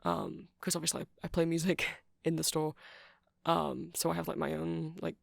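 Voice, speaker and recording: A performer playing a friendly, nerdy vampire music shop keeper in an ASMR roleplay, rambling softly and apologetically to a customer with hesitant, casual speech. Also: medium pitch, fairly even intonation, normal speed, creaky, no echo, clean studio sound. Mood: chatty, apologetic. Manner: soft, rambling, hesitant, self-deprecating. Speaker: store clerk.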